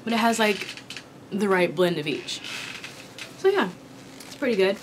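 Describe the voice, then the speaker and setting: A young woman from Kentucky chatting casually while eating, her voice raspy from a sore throat, rambling with many ums. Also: mid-range voice, lively intonation, unhurried, noisy background. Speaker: vlogger. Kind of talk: casual mukbang chat. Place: small indoor room with dark curtain backdrop.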